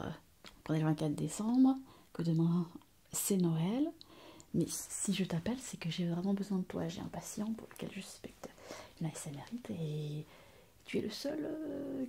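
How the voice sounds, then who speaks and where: A woman playing a mildly exasperated hospital doctor in a soft, often whispered ASMR roleplay, speaking hesitantly. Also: on the low side, gravelly, breathy edge, exaggerated voice. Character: female hospital doctor, mildly exasperated with her colleague, coaxing.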